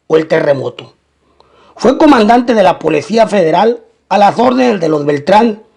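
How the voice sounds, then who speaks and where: A man's voice: high voice, vocal fry, a little mellow. A man narrating a scripted, documentary-style crime story.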